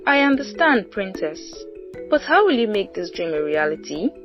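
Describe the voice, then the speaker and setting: A woman voicing an old, wise, confident male tortoise with formal, measured speech in a folktale. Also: mid-range voice, sing-song, highs cut off, music in the background, close to an everyday voice. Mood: advising.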